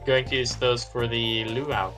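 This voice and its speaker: Bright-voiced male gamer chatting. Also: medium pitch, level tone, measured pace. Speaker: gamer on a group stream. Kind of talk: casual chat while gaming. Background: music.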